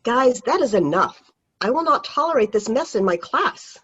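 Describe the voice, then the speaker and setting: A woman voicing a strict high school teacher, stern and commanding, over dull video call audio. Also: big pitch swings, normal speed, a bit bright, breathy edge, heavily acted.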